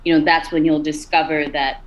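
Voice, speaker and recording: Raspy woman singer, low and dull. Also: brisk. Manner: relaxed, many fillers like "like" and "you know". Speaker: singer and podcast guest. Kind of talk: casual interview talk.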